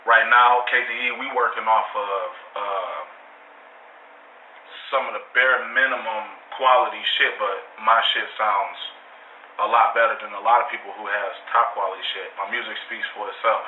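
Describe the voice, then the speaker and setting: An American rapper from Lansing, Michigan, giving a casual phone interview in a slangy, emphatic way full of conversational tags. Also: male voice, fairly low pitch, fairly even intonation, moderate pace. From Lansing, Michigan, United States. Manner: slangy, emphatic, peppered with "you know". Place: phone call. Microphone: telephone. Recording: tinny phone line, roomy, distant, faint noise.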